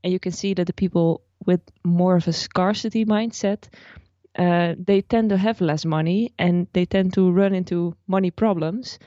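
A woman's voice: on the low side, normal speed, mellow, dry recording, lo-fi sound. A Dutch woman, a personal finance blogger, explaining her ideas at length as a guest in a podcast interview, with frequent restarts. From Netherlands.

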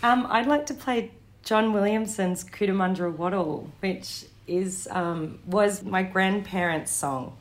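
An Australian woman in her thirties, an interview guest telling family stories in a thoughtful way, pausing with ums and restarting her sentences. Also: medium pitch. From Western Sydney, Australia. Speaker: interview guest, director and actor. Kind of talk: reflective conversational storytelling.

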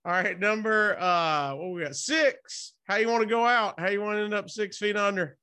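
A man doing a casual, playful interview as the host, chatty and prompting with quick follow-ups. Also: high-pitched, sharp tone, clear, resonant tone.

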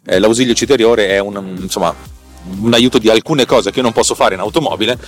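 A man's voice: fairly low pitch, faint music behind. A man hosting a podcast, explaining technical things casually and off the cuff, often repeating words and correcting himself. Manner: rambling, halting, self-correcting, repeating words. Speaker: podcast host. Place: inside a car.

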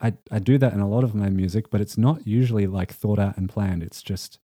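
A low, warm-toned man talking as a musician guest. He speaks loosely and hesitantly, often laughing, in a dry, close sound. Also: brisk, breathy edge, no echo. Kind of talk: casual interview.

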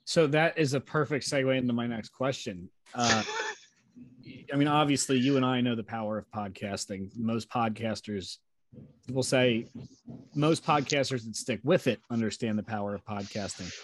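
A man in the host's seat, speaking at a middle pitch in a friendly, agreeable way. He often restarts his sentences, and his voice sounds dry and close, with no room echo. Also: normal speed. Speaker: podcast host. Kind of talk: casual interview chat.

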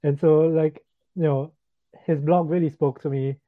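A man's voice: on the high side, fairly even intonation, moderate pace, dry recording. A young man from Singapore chats casually as a podcast guest, with lots of fillers and easy laughs. He comes through a thin, phone-like remote line that sounds dry and close. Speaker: guest, digital freelancer. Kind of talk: casual interview chat.

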